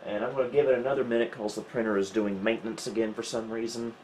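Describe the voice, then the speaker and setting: A man's voice: mid-range voice, flat delivery, fast talker, a little mellow, slight background noise, low-quality recording. A man talking casually and wryly as he thinks aloud through tech troubleshooting, hosting a home video indoors. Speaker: host of a retro computing video. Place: indoors, small home room.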